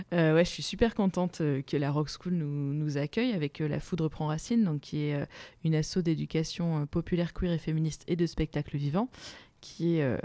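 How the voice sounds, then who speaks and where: A woman's voice: low-pitched, warm tone, no room sound. A woman musician and playwright answering interview questions casually, hesitant with many fillers and restarts, laughing often.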